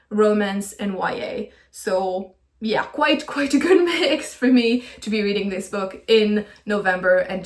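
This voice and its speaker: A woman talking through her book plans in a friendly, enthusiastic, chatty way, with small laughs now and then. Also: medium pitch. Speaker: book vlogger. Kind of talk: casual chatty book video. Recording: echoey room.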